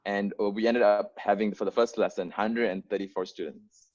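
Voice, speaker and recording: A man's voice: lowish voice, fairly even intonation, normal speed, darkish tone, highs cut off. A young man in his twenties, an English speaker from Bangladesh, earnestly telling the story of founding his organisation over a video call, with many fillers and restarts. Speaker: founder giving an onboarding talk. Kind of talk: unscripted presentation to volunteers.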